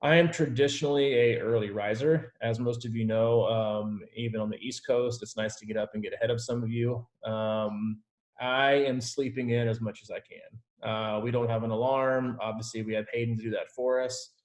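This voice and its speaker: A man's voice: medium pitch, fairly even intonation, normal speed. A man working as a triathlon coach, informally and reassuringly answering team questions on a video call.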